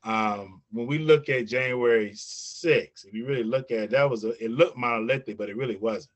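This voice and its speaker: A man's voice: medium pitch, normal speed. A man speaking earnestly on an online panel, repeating words as he urges his movement toward unity. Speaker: veteran movement leader, panelist.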